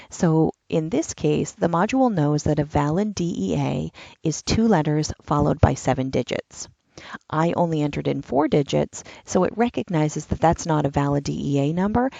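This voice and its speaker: A woman's voice: low voice, vocal fry, warm tone, dry recording, lo-fi sound. A woman giving a patient, step-by-step walkthrough of an online application form. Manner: step-by-step instructional, plain and patient. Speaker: enrollment trainer. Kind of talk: screen-recorded software walkthrough.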